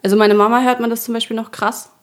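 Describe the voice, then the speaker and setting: A woman's voice: on the high side, flat delivery, quick pace. A woman from Upper Franconia, speaking standard German, chatting and joking as a podcast guest and laughing often. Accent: standard German, Franconian dialect dropped. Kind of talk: casual chat.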